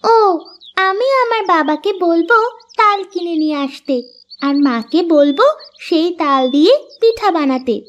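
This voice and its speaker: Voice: extremely high pitch, animated, measured pace, a bit bright, highs cut off, a little hiss or hum, heavily acted. A voice actor playing a sweet, eager young village girl in a cartoon fairy tale. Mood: chatty.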